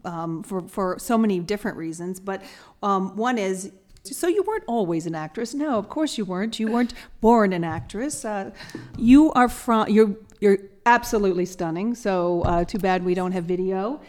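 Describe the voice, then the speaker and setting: A woman's voice: mid-range voice, moderate pace, darkish tone. A woman co-hosting a podcast, asking rambling questions and cracking jokes. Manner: playful, joking, rambling questions. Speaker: podcast co-host. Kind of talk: casual podcast interview.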